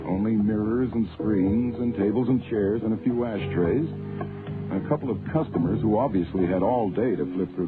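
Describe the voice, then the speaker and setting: An actor playing a breezy, self-assured private detective with a flippant, colloquial delivery in a radio drama. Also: male voice, lowish voice, lightly acted. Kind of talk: hardboiled detective radio drama, narration and dialogue. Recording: tinny band-limited old radio, music behind.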